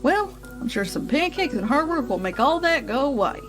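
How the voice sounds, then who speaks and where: A kindly big-sister farm pony, voiced by a woman, folksy and fairly high. Her pitch moves around a lot, and music plays softly underneath in this fan-made audio story. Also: sing-song, normal speed. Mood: warm, reassuring. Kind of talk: fan fiction audio drama reading.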